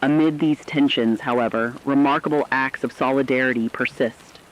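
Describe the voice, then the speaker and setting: A man playing a grave radio reporter who describes catastrophe survivors in formal, measured, scripted narration. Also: medium pitch, steady tone, a bit bright, close to an everyday voice, poor audio.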